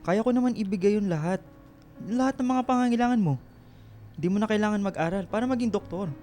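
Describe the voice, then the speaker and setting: Actor as older filipino bf, soft dark voice, kinda pushy bout settling down, radio soap, bit of music. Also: male voice, high-pitched, fast talker, lightly acted. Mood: insistent, frustrated. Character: older boyfriend, insistent, eager to marry and start family. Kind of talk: radio drama acting.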